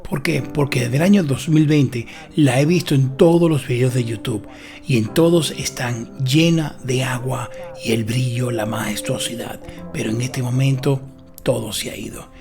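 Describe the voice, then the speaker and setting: A man with a Dominican accent narrates a travel vlog in a creaky, fry-edged voice. His phrasing is dramatic and sentimental, and light music plays behind him. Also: mid-range voice, moderate pace. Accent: Dominican Spanish. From Dominican Republic.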